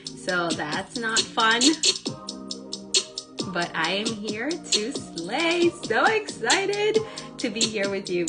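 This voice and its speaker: A young woman, raspy from a cold, hosting a live stream and effusively greeting her viewers by name. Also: a little high, animated, slow pace, loud music behind the voice. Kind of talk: live-streamed motivational talk.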